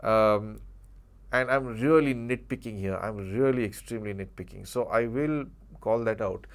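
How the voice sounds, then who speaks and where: A man's voice: fairly low pitch, normal speed. Man reviewing gadgets. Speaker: tech reviewer, channel host. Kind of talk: casual unscripted product review.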